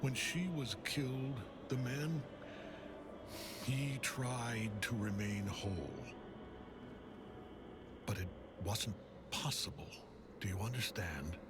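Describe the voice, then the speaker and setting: An actor playing a bitter, grieving older father and menacing cult general in a video game cutscene, solemn and deliberate, at times breathy. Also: medium pitch, lightly acted. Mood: grieving, intense. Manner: solemn, deliberate storyteller, dropping into breathy near-whispers. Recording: music under the voice.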